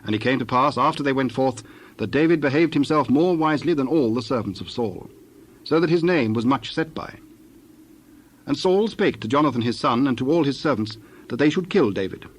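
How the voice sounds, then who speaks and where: A man narrating a dramatized Bible reading in a measured, formal storytelling style. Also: mid-range voice, animated, slightly rough.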